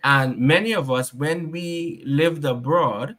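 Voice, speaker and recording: Animated male talk show host. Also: a little high, slow pace. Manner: animated questioning, reads viewer comments. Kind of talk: casual talk show discussion.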